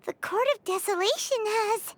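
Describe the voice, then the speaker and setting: A woman voicing a tiny, childlike fairy companion in a video game, eager, curious and squeaky. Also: normal speed.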